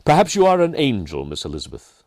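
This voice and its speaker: A man voicing a kindly, earnest Outback miner in a storybook, with deliberate, emphatic phrasing. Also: medium pitch, huge pitch swings, darkish tone, no echo, clean studio sound, pitched above the performer's own voice. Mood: gentle pleading.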